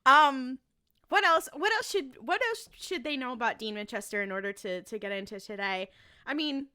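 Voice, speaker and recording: Bright, higher-pitched emphatic woman podcaster. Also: expressive, normal speed, smooth. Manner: emphatic, repeats phrases for effect. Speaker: podcast panelist. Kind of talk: casual fan chat, making a case.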